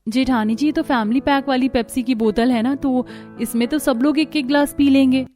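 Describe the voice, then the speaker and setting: High-pitched young new bride, acted by a woman, earnest and exasperated, cartoon family drama. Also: steady tone, normal speed, warm tone, soft background music, close to an everyday voice. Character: young, exasperated, well-meaning new daughter-in-law.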